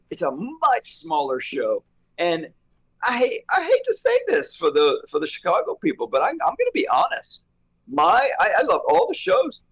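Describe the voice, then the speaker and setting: Slightly hoarse man chatting casually. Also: on the high side, poor audio. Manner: rambling, thinks aloud. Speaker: co-host. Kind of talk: casual chat.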